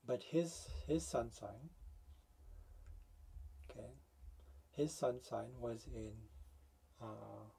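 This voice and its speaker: A man in his forties talks to the camera from a small room with foam-paneled walls. His mellow voice has a husky edge, and he thinks out loud, stopping and restarting as he works through figures. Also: mid-range voice, drawn-out words, pauses often. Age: 40s. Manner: thinks aloud, working out sums with many restarts. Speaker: astrology video host. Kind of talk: casual explanatory talk to camera.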